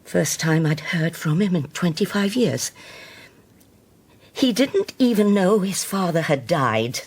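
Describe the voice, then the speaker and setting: An older Scottish woman, a solemn, God-fearing widow in a radio play. Her voice is low and husky, moving expressively through halting, weighty phrases as if making a grave confession. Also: sing-song, darkish tone, full character voice. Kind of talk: naturalistic radio drama acting.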